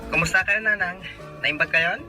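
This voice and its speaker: An actor playing a dutiful, take-charge adult son in a Filipino radio drama. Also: male voice, sits high, moderate pace, loud music behind the voice, close to an everyday voice. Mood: brisk, instructing.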